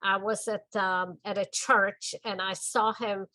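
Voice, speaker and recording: An older woman from the Czech Republic with a Czech accent, talking about her art in a documentary interview in her home studio, gentle and thoughtful with small pauses. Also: mid-range voice, little pitch movement, no room sound. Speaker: artist.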